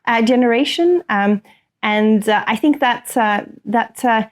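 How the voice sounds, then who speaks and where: A woman's voice: a little high, unhurried. Earnest woman from northern Sweden. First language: Swedish. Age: 30s to early 40s. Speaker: corporate sustainability executive, interview guest. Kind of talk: conversational interview answers.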